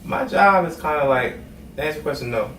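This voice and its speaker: A man's voice: on the high side, animated, fast, a bit bright. A man from Trinidad and Tobago, raised in Brooklyn, a music executive talking casually in an interview with a laid-back manner. He is recorded from a distant mic in an echoey room with noise. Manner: laid-back, conversational, many "you know what I mean".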